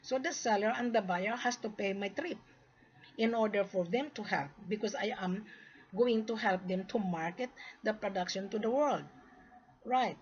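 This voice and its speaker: A woman born in the Philippines who is Australian, giving an emphatic, insistent unscripted monologue in a husky voice, repeating her points as she explains. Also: mid-range voice, normal speed. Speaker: gold trade seller's mandate presenting to camera. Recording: dull, lo-fi sound.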